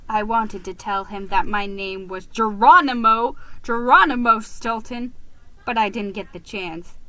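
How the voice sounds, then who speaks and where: A woman's voice: on the high side, sharp tone, no echo, low-quality recording. A woman voicing a beleaguered male mouse who tells his own story in a dramatic, self-pitying manner. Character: beleaguered, melodramatic male mouse narrator, a publisher.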